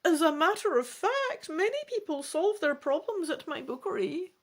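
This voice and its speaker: A woman's voice: very high-pitched, very animated, normal speed, voice pushed up. A woman voicing a mysterious, kindly elderly female bookshop keeper in a theatrical fantasy gamebook reading. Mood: kindly, wise.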